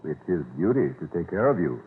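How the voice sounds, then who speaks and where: Dignified older judge, swooping intonation, low voice, formal and unbending, vintage muffled radio drama. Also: male voice, very animated, a little fast. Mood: firm, placating. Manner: formal, courtly, hesitant at awkward moments. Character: dignified, conservative older judge and father, courteous but unbending. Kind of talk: 1930s radio drama acting. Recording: muffled, scratchy 1930s radio recording.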